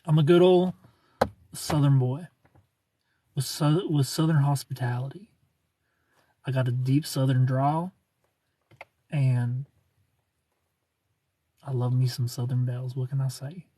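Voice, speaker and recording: A man's voice: medium pitch, steady tone, measured pace, long pauses, dark tone, husky. An American man hosting a live stream, casually reading and replying to comments in a joking, playful, informal way.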